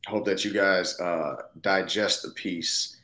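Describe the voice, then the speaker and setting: Low-pitched man, reflective and casual. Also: steady tone, takes it slowly, pushed and bright. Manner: thoughtful, casual, punctuated with "you know what I'm saying". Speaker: actor, interview guest. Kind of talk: reflective conversational interview. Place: indoor room, video call. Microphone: video call, seated close to the camera.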